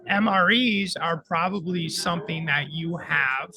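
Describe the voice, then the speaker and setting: A man's voice: on the high side, measured pace, creaky, crowd noise. A man co-hosting a live radio show from a conference, talking in a chatty, upbeat way. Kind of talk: casual live radio chat. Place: conference floor broadcast.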